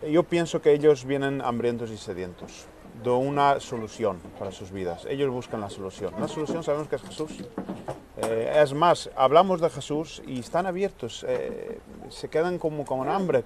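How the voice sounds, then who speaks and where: A man who coordinates a charity's refugee work answers interview questions earnestly, pausing often with "eh" and repeating words. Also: medium pitch, expressive, darkish tone. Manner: earnest, searching for words with many hesitations.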